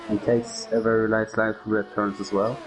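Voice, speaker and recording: A man's voice: fairly low pitch, little pitch movement, moderate pace, faint music behind. A man from Germany with a German accent giving casual play-by-play of a sim race. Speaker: lead commentator. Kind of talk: casual sim racing commentary.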